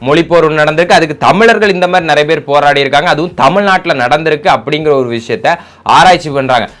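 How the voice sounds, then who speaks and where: A man with a fairly high voice talks very quickly. He delivers opinionated political commentary in an argumentative way, throwing out rhetorical questions and dropping in English words. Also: fairly bright tone.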